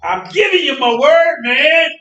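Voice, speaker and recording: Man playing folksy American blusterer, high bright voice, teasing and repetitive, stage play, echoey distant recording with music. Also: measured pace, highs cut off, exaggerated voice. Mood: cajoling.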